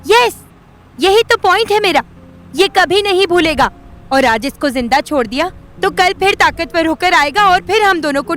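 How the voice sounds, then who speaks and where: Very high-voiced vengeful young woman. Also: a bit bright. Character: vengeful, unhinged young woman, menacing and stubborn. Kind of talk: audio drama acting.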